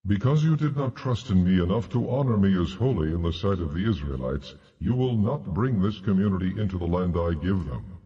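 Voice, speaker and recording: An actor playing God as a stern, commanding deity in a dramatized audio Bible, speaking with solemn, measured authority. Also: male voice, low-pitched, expressive, breathy edge, muffled recording. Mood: stern, grave.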